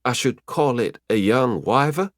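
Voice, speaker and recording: Casting: man as youthful dungeon spirit, male, naive and keen, raised pitch, dry close sound, audiobook fantasy. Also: very animated, moderate pace, no room sound, natural voice. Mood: polite, tentative. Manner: eager, questioning. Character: young male dungeon core, eager, naive, polite. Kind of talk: audiobook character voice.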